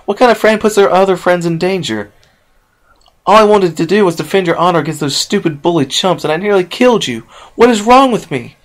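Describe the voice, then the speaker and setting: A man voicing a brash, tomboyish young female pegasus filly, loud and high and strained when upset. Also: sing-song, normal speed, few pauses. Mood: despairing.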